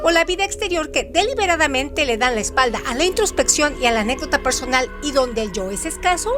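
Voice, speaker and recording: A woman with a high-pitched voice reads scripted literary trivia as a presenter. She delivers it didactically as rhetorical questions, with faint music playing underneath. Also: expressive, quick pace. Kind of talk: scripted educational narration.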